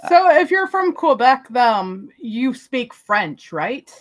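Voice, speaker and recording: A woman, Spanish her first language, chatting casually on a voice call, talkative and fond of arguing, with quick laughs. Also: a little high, big pitch swings. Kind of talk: casual voice chat.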